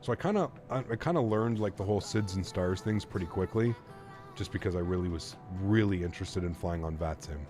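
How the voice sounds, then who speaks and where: Relaxed low-voiced man chatting on stream. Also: brisk, over music. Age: 30s. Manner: relaxed, chatty, thinks aloud with fillers. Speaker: flight sim streamer. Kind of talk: casual live-stream chat reading viewer comments.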